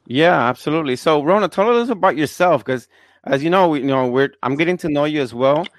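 A man's voice: on the high side, quick pace, no echo. Chatty Puerto Rican-born man hosting. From Puerto Rico. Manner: friendly, chatty, with fillers and religious phrases. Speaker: podcast host. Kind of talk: casual interview.

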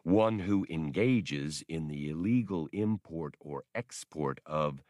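A man reading an audiobook, voicing an old male labor droid who speaks in a polite, formal, slow drawl. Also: rumbling low, a little mellow, no echo, clean studio sound, pitched below the performer's own voice. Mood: calm. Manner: formal, deferential.

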